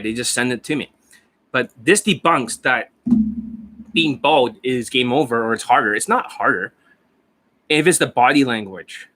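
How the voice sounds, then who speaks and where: A man coaching about dating who talks in a brash, profane, rambling way, hoarse and losing his voice, with coughs between lines. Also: mid-range voice, bright, forceful tone. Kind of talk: casual monologue over a screen share. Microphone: desk microphone.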